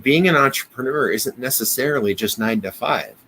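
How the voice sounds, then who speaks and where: A man with an American accent in a casual business discussion, speaking haltingly, often repeating words and restarting. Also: mid-range voice, pushed and bright, breathy edge, rough recording. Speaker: business podcast co-host. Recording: noticeable background noise.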